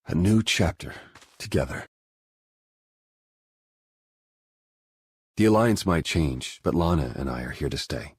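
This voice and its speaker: An actor playing a reckless, lovesick male spy in a game cutscene, earnest and halting. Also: lively intonation, dry recording, full character voice. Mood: hopeful, devoted. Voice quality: low, husky voice. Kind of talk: video game cutscene acting.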